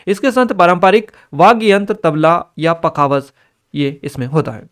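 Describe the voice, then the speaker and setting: A man with a somewhat high-pitched voice teaches for an exam. He reads each question out from his notes, then explains the answer in an instructional manner, now and then checking that listeners follow. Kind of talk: exam paper analysis lesson, reading out questions and explaining answers.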